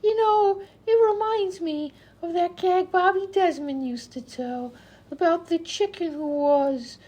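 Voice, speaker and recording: A woman's voice: very high-pitched, a little slow, a little mellow. A woman playing a dim-witted, chattering vaudeville patient who rambles in folksy, ungrammatical speech in a radio comedy. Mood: chatty, oblivious. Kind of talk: old-time radio comedy acting.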